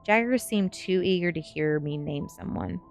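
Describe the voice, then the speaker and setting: A woman's voice: lowish voice, moderate pace, vocal fry, faint music behind. A woman reading a first-person story aloud as ASMR narration. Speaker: streamer reading a novel aloud.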